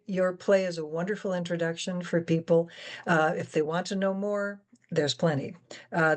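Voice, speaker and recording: Female moderator, slightly low register, conversational and explanatory, for an educational panel discussion. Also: a little mellow. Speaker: guest moderator, science educator.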